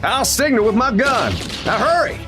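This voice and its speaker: A man acts out a Western lawman from a radio serial, high in pitch and swinging widely as he speaks. He has an American folksy drawl and the firm resolve of someone who leads a posse. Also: animated, normal speed, over music. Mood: urgent. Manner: folksy drawling frontier speech. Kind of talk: old-time Western radio drama acting.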